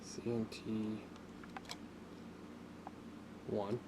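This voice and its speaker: A man giving a casual, unscripted hands-on tutorial, talking haltingly as he thinks aloud while working. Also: fairly low pitch, monotone, noisy background. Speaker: hobbyist presenter of a radio repair video.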